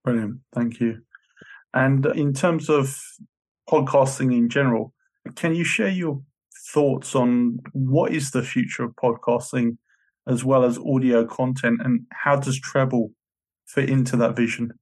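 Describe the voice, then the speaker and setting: A man's voice: mid-range voice, steady tone, a little slow, a little mellow. A man hosting a podcast interview, asking questions and giving short, encouraging replies.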